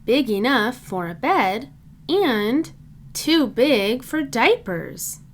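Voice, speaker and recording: Female storybook reader for young kids, higher-pitched, very slow and deliberate. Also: big pitch swings, a little hiss or hum. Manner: simple, deliberate phrasing for young children. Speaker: children's book reader. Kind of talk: read-aloud children's storybook narration.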